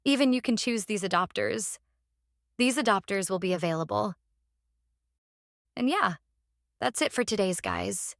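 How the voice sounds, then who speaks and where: A woman narrating a casual software tutorial, explaining step by step in a halting way and repeating phrases. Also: mid-range voice, animated, creaky, dry recording, studio quality. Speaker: tutorial presenter.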